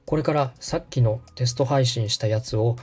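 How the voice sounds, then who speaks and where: A man's voice: mid-range voice, moderate pace, darkish tone, breathy edge. A man hosting a podcast, politely and methodically walking someone through a setup step by step. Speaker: podcast host and radio director giving step-by-step guidance. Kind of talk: instructional walkthrough in dialogue.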